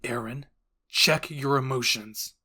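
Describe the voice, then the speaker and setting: A man's voice: medium pitch, slow, bright, forceful tone, slightly rough, full character voice. Man playing a stern, curt military captain. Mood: angry, commanding. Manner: curt, firm, commanding. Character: stern, stoic male military captain, cold and commanding. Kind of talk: anime roleplay voice acting.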